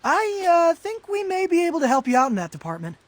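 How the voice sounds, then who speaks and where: A man's voice: very high-pitched, wildly swooping pitch, a little fast, heavily acted. A man voicing an earnest, responsible teenage mutant turtle boy in a cartoon game, slightly awkward in manner. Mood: eager, polite. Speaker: voice actor in a game cutscene. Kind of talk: animated cartoon game acting.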